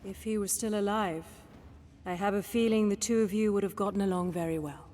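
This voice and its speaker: An actress playing a proud, bitter young noblewoman with a sharp, haughty, accusing manner in a dramatic video game. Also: mid-range voice, fairly quick, big-room sound, lightly acted. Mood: subdued.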